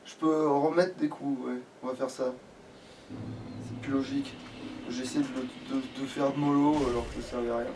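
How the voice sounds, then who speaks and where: A man's voice: medium pitch, fairly even intonation, moderate pace, darkish tone. A man doing casual let's play commentary, grumbling and swearing as he plays. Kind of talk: casual gaming commentary while playing. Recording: distant mic, faint background noise.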